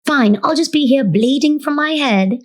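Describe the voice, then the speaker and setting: Creepy little British twin girl, played by a woman, high childlike pitch, agitated, for a dramatic table read. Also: animated, normal speed.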